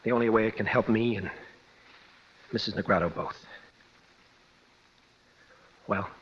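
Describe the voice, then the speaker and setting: An actor playing an earnest young condemned prisoner, intense and pleading, in a radio detective drama. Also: male voice, on the low side, fairly even intonation, brisk, slight background noise, lightly acted. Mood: tense, insistent. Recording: dull vintage radio recording.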